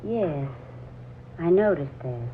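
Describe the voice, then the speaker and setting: A woman's voice: low voice, animated, slow, breathy edge, exaggerated voice. An actress playing an uneasy, hesitant young woman in a 1940s radio drama. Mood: thoughtful, guarded. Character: Laura, uneasy young woman sensing strangeness. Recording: muffled, hissy 1940s radio recording.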